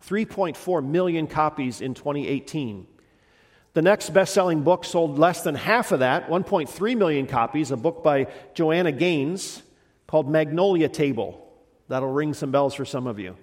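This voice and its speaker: Man, middle-aged pastor, slightly stuffy head cold, fairly high voice, conversational sermon, long church-hall reverb. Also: lively intonation, moderate pace. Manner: warm conversational preaching with frequent restarts. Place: church sanctuary.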